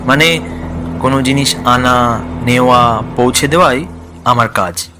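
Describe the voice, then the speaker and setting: A man's voice: mid-range voice, measured pace, background noise. A man narrating a scripted horror story in the first person, in a dramatic storytelling style.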